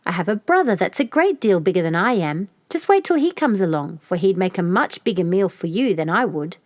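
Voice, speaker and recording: A woman's voice: on the low side, very animated, fairly quick, dry recording, studio quality. A woman voicing a middle-sized billy goat in a storybook, nervous, polite and pleading. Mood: frightened pleading.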